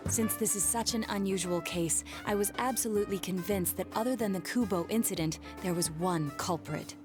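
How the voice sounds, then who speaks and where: A woman's voice: mid-range voice, close to an everyday voice. Woman acting a cool, formal young detective over music. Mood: grave deduction. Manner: precise, formal, deliberate phrasing. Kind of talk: English video game dub acting. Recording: game music behind the voice.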